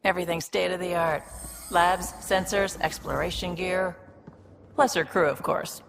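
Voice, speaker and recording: A woman playing a brisk, confident, streetwise alien ship provisioner in a video game. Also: lowish voice, steady tone, gravelly, background noise. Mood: proud, businesslike. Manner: brisk, matter-of-fact, streetwise. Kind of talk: video game cutscene acting.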